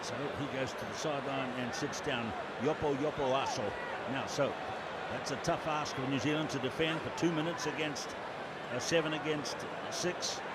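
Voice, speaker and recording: A man giving live play-by-play rugby commentary, briskly calling out players' names as the action unfolds. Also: mid-range voice, fairly even intonation. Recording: commentary over loud stadium noise.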